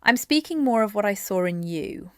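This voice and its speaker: A woman's voice: mid-range voice, lively intonation, moderate pace, fairly bright tone, clean tone, no echo, studio quality, natural voice. A woman playing a kind, observant Victorian companion in an audio drama, composed and supportive. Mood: warmly supportive.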